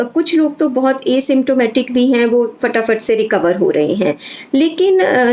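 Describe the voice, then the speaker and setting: A woman's voice: on the high side, a little mellow, rough recording. A woman speaking as an Ayurvedic doctor, giving an informal health talk with frequent hesitations and phrases mixed in.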